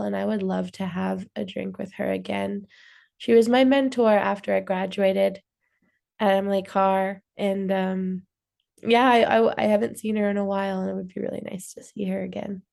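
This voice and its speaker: A woman answering an interview question, reminiscing with soft, trailing phrases. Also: medium pitch, steady tone, moderate pace, creaky.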